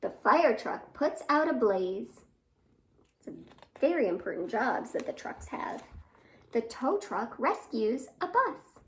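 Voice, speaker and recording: Slow, animated high-voiced woman reading to children. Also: a little hiss or hum. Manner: expressive, animated, addressing young children. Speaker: library storytime host. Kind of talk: children's read-aloud with asides and questions.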